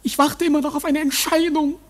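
A woman in a German radio play playing a timid, servile accused petitioner, trembling, stammering and obsequious, with a husky voice. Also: sits high, little pitch movement, a little fast, close to an everyday voice. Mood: servile, plaintive. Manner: stammering, obsequious, repeating itself.

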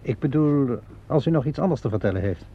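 A courteous, probing police inspector in a radio drama, halting and understated. His voice is husky and mellow, with a lively, wandering pitch. It sounds like a dull old recording.

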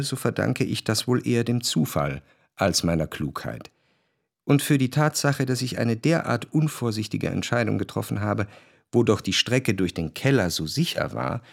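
An actor playing a reflective narrator in a literary radio drama, wry and deliberate in his phrasing. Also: fairly low pitch, creaky, darkish tone, no room sound, studio quality, heavily acted. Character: reflective, wry male narrator recalling what he spied. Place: dry studio.

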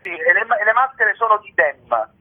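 Husky, animated man on a phone line. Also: high voice, a little fast. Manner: rambling, tongue-in-cheek, mock-solemn. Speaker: comedian, interview guest. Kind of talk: casual radio interview chat. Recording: muffled telephone line.

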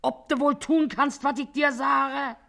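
A woman's voice: high-pitched, steady tone, measured pace, creaky, a bit bright, exaggerated voice. An actress playing a shrewd, bossy working-class washerwoman and mother with a Berlin accent in a radio play. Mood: conspiratorial, bossy. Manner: brusque, commanding.

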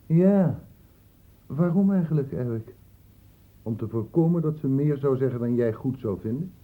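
An actor playing a shrewd, paternal doctor in a radio play, speaking in a measured, patronising, ironic way. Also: male voice, medium pitch, expressive, close to an everyday voice. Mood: probing. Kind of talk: radio play acting in Dutch. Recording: muffled, phone-like old radio recording.

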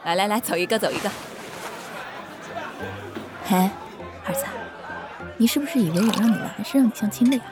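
A woman's voice: medium pitch, expressive, a little fast, long pauses, crowd noise, close to an everyday voice. A woman playing a bubbly, boastful older mother in a drama, chatty, playful and nosy. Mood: boisterous, playful. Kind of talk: naturalistic drama dialogue. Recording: music behind the voice.

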